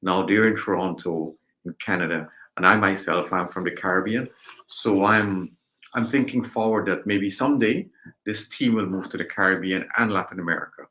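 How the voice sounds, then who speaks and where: A man from Guyana, a guest realtor answering interview questions in a measured, hesitant way with many ums. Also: low-pitched, pushed and bright, poor audio.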